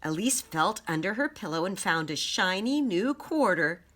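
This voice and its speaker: Children's story narrator, woman, bright clear-cut tone, lively melodic range. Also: medium pitch, moderate pace. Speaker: storybook reader.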